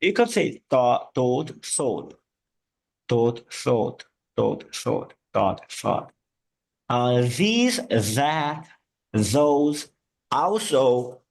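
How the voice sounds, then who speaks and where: A male English teacher, Portuguese his first language, talks in an unhurried, drawn-out way. He patiently repeats words over and over to model their sounds. His voice sits at an ordinary middle pitch. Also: big pitch swings, creaky, a little mellow. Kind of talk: online English lesson.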